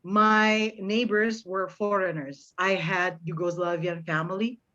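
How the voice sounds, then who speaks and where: Through slightly muffled online meeting audio, a middle-aged woman from Indonesia speaks with an American-influenced twang at a medium pitch. She sounds like a teacher, giving informal, encouraging advice. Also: fairly even intonation, unhurried, a bit bright.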